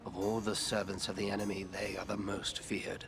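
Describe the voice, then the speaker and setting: A commanding ranger chieftain from a fantasy game. His low voice is solemn and measured, with guarded gravity, over clearly audible dramatic music. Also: little pitch movement. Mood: low, grave. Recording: orchestral game music behind.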